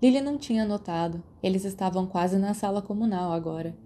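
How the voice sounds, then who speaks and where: Brazilian lady reading fanfic aloud, dark mellow voice, audiobook style narration. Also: medium pitch, a little fast, slight background noise. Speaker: podfic narrator. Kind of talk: scripted story narration.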